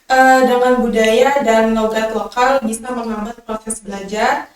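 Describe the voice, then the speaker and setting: A woman's voice: on the high side, steady tone, unhurried. Echoey far-off Indonesian woman interviewer. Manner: polite, reads prepared questions. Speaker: interviewer host. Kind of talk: interview questions.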